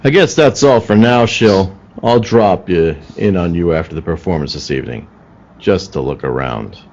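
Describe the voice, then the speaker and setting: A man's voice: deep voice, animated, normal speed, darkish tone, slight background noise. A man playing a firm, probing police detective in an old-time radio play, clipped and businesslike. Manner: clipped, questioning. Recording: muffled video-call audio.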